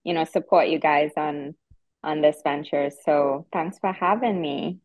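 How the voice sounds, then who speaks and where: A woman in her thirties speaks with a strong Trinidadian accent and a slightly low voice. She explains things in an easy, conversational way, often repeating a word as she gathers her thoughts. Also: measured pace, rough recording. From Trinidad and Tobago. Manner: explanatory, relaxed, repeats words while thinking. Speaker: podcast guest. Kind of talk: casual interview chat.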